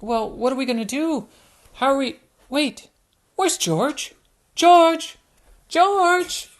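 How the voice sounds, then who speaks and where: A woman voicing a cheerful little girl pig who pleads and apologizes in a high, breathless voice. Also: measured pace, a little hiss or hum. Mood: worried.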